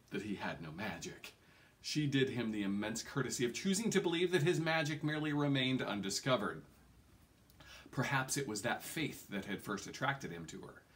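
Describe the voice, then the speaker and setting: Animated man reading a story aloud. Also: lowish voice. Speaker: reader. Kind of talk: expressive audiobook-style reading of a fantasy novel. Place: small home room, indoors.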